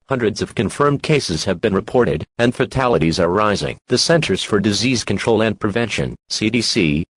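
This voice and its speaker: A man reading a scripted emergency broadcast announcement in a formal, measured, impersonal manner. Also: lowish voice, dry recording.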